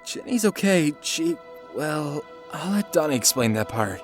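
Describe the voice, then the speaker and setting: A woman voicing a calm, serious teenage male turtle leader in a motion comic, earnest and measured. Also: low voice, soft background music.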